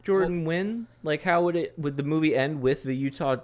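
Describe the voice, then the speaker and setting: A man's voice: on the high side, a little fast, a little mellow. A man co-hosting a podcast, chatting casually and riffing on ideas in a questioning, conversational way. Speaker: podcast co-host.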